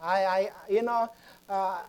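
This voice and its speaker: Female preacher, Filipino accent, unhurried and halting delivery, mid-range pitch, sermon and prayer. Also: steady tone, darkish tone, smooth. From Philippines. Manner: halting, repeats words.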